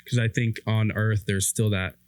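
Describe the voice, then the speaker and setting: A man's voice: lowish voice, fairly even intonation, moderate pace, dry recording. A man hosting a conversational podcast interview on faith, reflective and probing, repeating phrases as he thinks. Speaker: podcast host.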